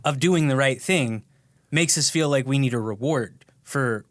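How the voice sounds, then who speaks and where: A man's voice: mid-range voice, unhurried, no echo. A man co-hosting a podcast in casual chat, acting out his own thoughts as he tells stories. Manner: chatty, acts out his own thoughts in quotes. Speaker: podcast co-host.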